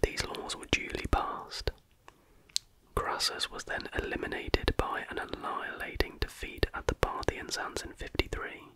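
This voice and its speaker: A person reading a factual text aloud in an unvoiced, breathy whisper, in a careful, measured ASMR style. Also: bright, forceful tone, low-quality recording. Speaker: reader.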